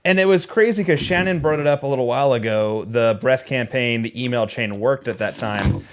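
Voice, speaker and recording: A man's voice: mid-range voice, brisk, background noise. A man hosting a casual interview, blunt and opinionated, ranting freely about what he dislikes. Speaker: host. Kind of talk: casual video chat interview.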